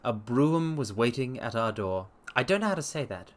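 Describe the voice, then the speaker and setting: A man streaming a dramatic story reading, with theatrical, expressive delivery and casual asides. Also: mid-range voice, a little fast. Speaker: streamer and reader.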